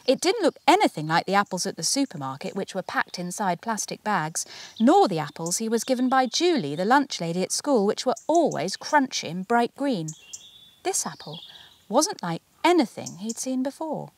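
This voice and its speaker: Expressive woman reading a children's storybook. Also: medium pitch, normal speed, no echo. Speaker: author reading their own picture book.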